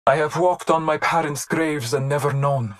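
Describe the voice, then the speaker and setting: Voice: mid-range voice, steady tone, moderate pace, close to an everyday voice. A performer playing a solemn, stoic, devout hunter in a video game, speaking in a grave, formal manner. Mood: grave sorrow.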